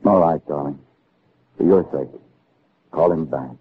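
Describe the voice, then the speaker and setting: A man's voice: very deep voice, unhurried, breathy edge, narrow, tinny audio, faint music behind, exaggerated voice. An actor playing a reluctant, easily riled young scientist in a 1960s radio drama, hesitant and earnest in his protests. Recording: very noisy, band-limited vintage radio recording.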